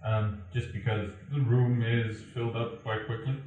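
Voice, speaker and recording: A man narrating a tabletop game as game master, pausing often with ums and uhs. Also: medium pitch, flat delivery, darkish tone. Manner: halting, many ums and uhs. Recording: echoey room, far from the mic.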